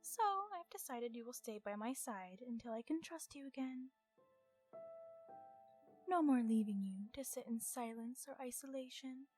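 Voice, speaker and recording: A woman voicing a centuries-old vampire in an ASMR roleplay, stern, irritated and possessive, with a measured, formal and often hushed delivery. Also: on the high side, soft background music, lightly acted. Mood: stern, composed.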